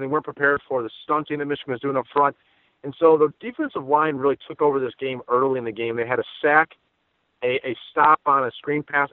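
A man's voice: medium pitch, no room sound. A man giving emphatic, conversational sports analysis as an opinionated guest on a podcast. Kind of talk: sports podcast interview commentary. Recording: dry, phone-like narrow band.

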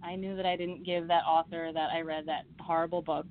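Low-voiced young woman on a phone line. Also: flat delivery, brisk, slight background noise. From Midwest US. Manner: chatty, laughs often, many fillers and restarts. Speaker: author being interviewed. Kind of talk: casual interview chat. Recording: phone-like narrow sound.

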